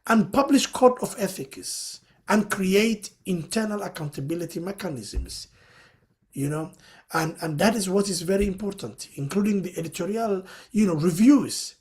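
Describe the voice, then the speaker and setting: A man's voice: high voice, expressive, normal speed, a little mellow. A man from Somalia, speaking as a panelist in a webinar discussion. He explains his points earnestly and fills his sentences with "you know".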